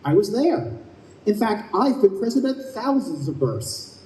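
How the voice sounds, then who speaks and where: An actor playing a reassuring, matter-of-fact guardian angel in an old-time radio play. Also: male voice, high-pitched, big pitch swings, unhurried, a little mellow, slight background noise. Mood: calm reassurance. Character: Donald, calm knowing guardian angel guide, male. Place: live performance in a convention room. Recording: echoey hall, far from mic.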